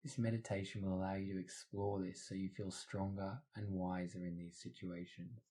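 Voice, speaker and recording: Low, mellow male meditation guide. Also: flat delivery, normal speed. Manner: soothing, instructional. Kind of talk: scripted guided meditation narration.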